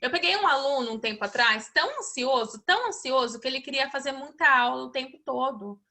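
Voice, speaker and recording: A woman's voice: sits high, animated, a little fast, bright, forceful tone. A woman with a Brazilian Portuguese accent, an English teacher hosting a casual mentoring chat, agreeable and full of little tag questions. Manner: agreeable, many filler tags and restarts. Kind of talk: casual conversation.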